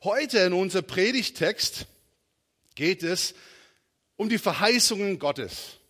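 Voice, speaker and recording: A middle-aged American man, a native English speaker with an American accent, preaches in a large, echoing hall. His voice sits a little high and swings animatedly up and down. He speaks slowly and haltingly, in an informal way, feeling for his words. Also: lively intonation, fairly bright tone. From southern and western United States. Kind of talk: sermon. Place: large reverberant room, preaching to a congregation. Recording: reverberant hall.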